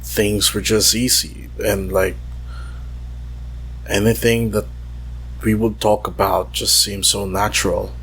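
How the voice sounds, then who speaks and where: A man leaving an intimate, confessional message, halting and wistful, with long pauses. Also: fairly low pitch, level tone, fairly bright tone, slight background noise, rough recording. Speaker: voicemail caller.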